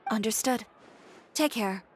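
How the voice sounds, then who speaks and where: A woman's voice: fairly high pitch, measured pace, background noise, lightly acted. Cool, curt fashion designer, voiced by a woman. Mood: resigned. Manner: curt, composed. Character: cool, proud, blunt female fashion designer. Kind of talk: video game voice acting.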